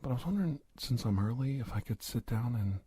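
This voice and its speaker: A man playing an earnest, hesitant secret-agent doctor in a soft-spoken ASMR roleplay, halting, confiding and gently coaxing. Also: mid-range voice, expressive, breathy edge. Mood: friendly, tentative.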